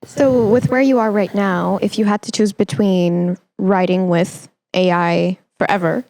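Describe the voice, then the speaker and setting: A woman's voice: mid-range voice, steady tone, a little slow, a little mellow, slight background noise. A woman hosting a podcast, talking in a conversational, reflective way as she interviews a guest and shares her views.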